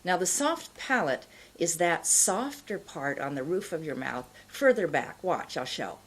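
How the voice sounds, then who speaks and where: A middle-aged woman giving an instructional pronunciation lesson, explaining in a deliberate, carefully enunciated way. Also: deep voice, very animated, breathy edge. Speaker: pronunciation teacher. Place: indoor studio, plain backdrop.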